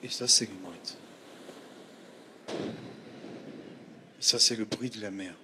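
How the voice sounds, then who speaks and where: A man reading his own poetry on stage, chanting short, repeated phrases with pauses between them. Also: fairly low pitch. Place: theatre stage at a festival. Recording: noisy live stage recording with ambience.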